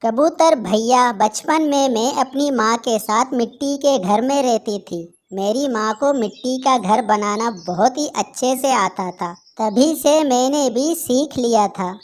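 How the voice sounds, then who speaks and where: A high-pitched female bird from a children's moral-story cartoon, acted by a woman. She speaks as a talking storybook character in a cartoon dub. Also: unhurried, hardly any pauses, darkish tone, close to an everyday voice. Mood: earnest. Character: female birds: gentle little sparrow and scheming crow.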